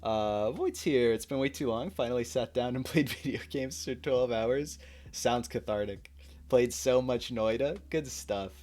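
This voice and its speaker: A man's voice: medium pitch, a little slow, darkish tone. Laid-back young man streaming. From United States. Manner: laid-back, chatty, laughs often, switches into Turkish. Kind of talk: casual chat with chat readers.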